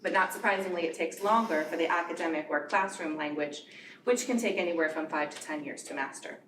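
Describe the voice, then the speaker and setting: A woman's voice: sits low, brisk. A woman teacher of English learners giving an earnest prepared speech at a board meeting. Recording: echoey room from far off, noisy.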